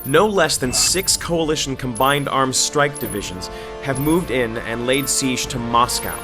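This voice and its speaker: A man acting as an earnest war correspondent in a video game, speaking in a solemn, deliberate, weary yet hopeful way. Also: medium pitch, lightly acted. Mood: somber. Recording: loud music under the voice.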